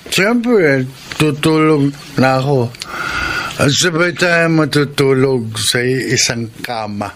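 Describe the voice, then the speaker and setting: A man's voice: medium pitch, expressive, slow pace. A man acting an impatient, ardent young suitor in a Filipino radio drama, with a Filipino accent. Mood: urgent, insistent. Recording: noisy radio drama with background ambience.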